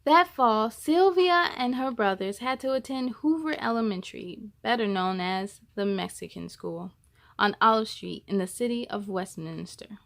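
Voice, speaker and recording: A woman reading a children's picture book aloud in an expressive, measured storytelling style. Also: mid-range voice, gravelly.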